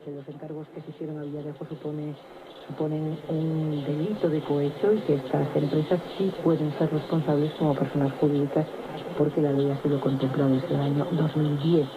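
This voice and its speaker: A radio presenter reads the news in quick, steady speech. The sound is dull and lo-fi, with crowd noise behind. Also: medium pitch. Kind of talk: Spanish radio talk and news.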